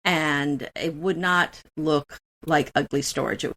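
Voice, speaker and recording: Lady talking shop about storage boxes, friendly, a bit low voiced. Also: fairly even intonation, takes it slowly, fairly bright tone. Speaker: co-host. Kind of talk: casual decor chat.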